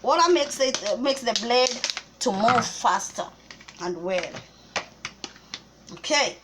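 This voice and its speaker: Kenyan woman, bright and high, cooking vlog host, kitchen recording with audible noise. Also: expressive, takes it slowly. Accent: Kenyan English. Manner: chatty, explains step by step with small restarts. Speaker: cooking vlogger. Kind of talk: casual cooking tutorial.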